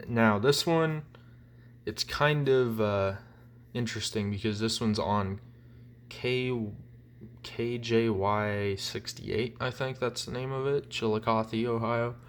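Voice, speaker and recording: Slow-talking man, casual and hesitant. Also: medium pitch, fairly even intonation, slight background noise. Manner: hesitant, rambling delivery. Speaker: hobbyist collector presenting items. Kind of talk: casual show-and-tell review. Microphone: phone, handheld or propped nearby.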